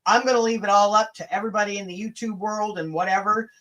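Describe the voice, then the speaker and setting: A woman's voice: mid-range voice, steady tone, quick pace, a bit bright, rough recording. Blunt, sweary woman livestreamer. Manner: informal, chatty asides to viewers. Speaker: livestream host. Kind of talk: casual rant to livestream viewers.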